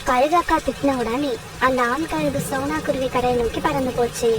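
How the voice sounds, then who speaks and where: A woman's voice: high voice, fairly even intonation, normal speed. A woman reading a scripted children's story as its narrator. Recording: distant mic, clear background noise.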